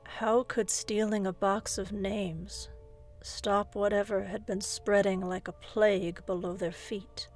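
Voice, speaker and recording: A woman narrating a scripted horror story in a suspenseful manner, often hushed, with dramatic pauses. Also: medium pitch, flat delivery. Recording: music bed behind the voice.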